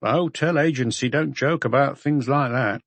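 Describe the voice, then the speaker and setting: A man's voice: mid-range voice, moderate pace, no room sound, voice pushed up, lightly acted. A man voicing a tired, slovenly hotel owner with blunt, curt answers, in an audiobook. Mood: gruff, weary. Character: unshaven, tired, gruff male hotel owner.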